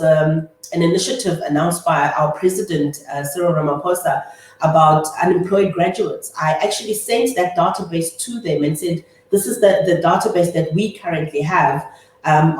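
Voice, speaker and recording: A woman in her thirties from South Africa's Eastern Cape, Afrikaans her first language, answering interview questions in a measured way with many pauses. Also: on the low side, a little mellow. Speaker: guest, chartered accountant. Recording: echoey room, distant mic.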